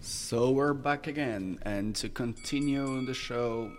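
A man's voice: medium pitch, slow. Teen boy, quiz host, long room reverb, music playing behind. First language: French. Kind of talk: school radio role-play quiz.

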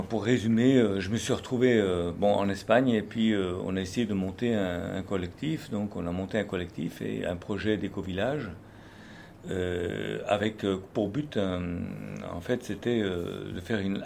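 A man's voice: fairly low pitch, creaky, darkish tone, slight background noise. An older man from France, speaking unscripted and hesitantly, with many fillers and restarts. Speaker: activist speaker. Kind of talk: unscripted spoken reflection. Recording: faint background ambience.

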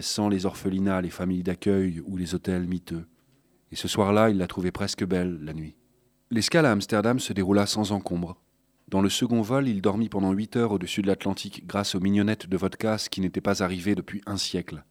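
A man's voice: low voice, a little mellow, no room sound, studio quality. A man reading a literary thriller as scripted narration. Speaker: audiobook narrator.

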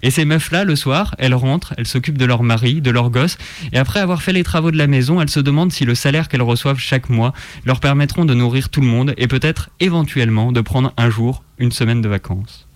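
A man with an average-pitched voice speaks as a radio co-host in a dry studio. His delivery is that of a written opinion column, engaged and sardonic. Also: quick pace, no echo.

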